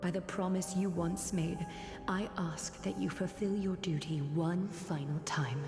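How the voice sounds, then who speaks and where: An actress playing a solemn, tender woman in a video game, pleading in a gentle, earnest, ceremonial way. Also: lowish voice, fairly even intonation, measured pace. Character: solemn, tender woman delivering a farewell plea. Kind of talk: dramatic video game voice acting. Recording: echoing long reverb under music.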